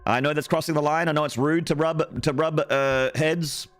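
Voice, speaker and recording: A man's voice: fairly high pitch, steady tone, normal speed, faint music behind. An Australian man streaming a game, chatting to viewers in a joking, rambling way. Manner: joking, rambling, playfully flirty with chat. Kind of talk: casual live-stream chatter while gaming.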